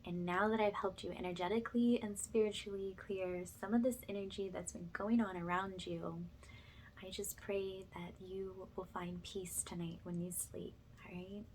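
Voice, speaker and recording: A woman with a soft, breathy voice that often drops to a whisper, gently leading a soothing spiritual ASMR session in a reassuring way. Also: medium pitch, fairly even intonation, normal speed, a little hiss or hum, rough recording. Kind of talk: ASMR, soothing guided energy cleanse.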